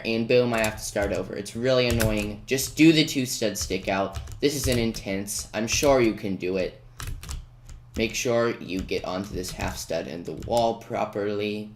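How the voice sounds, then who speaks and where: Male gameplay commentator, mid pitch, casual tutorial delivery with self-corrections; echoey room, faint background noise and keyboard clatter. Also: a bit bright. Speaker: video creator giving game walkthrough commentary. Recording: roomy echo, faint noise with key clicks. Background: computer keyboard typing and clicks.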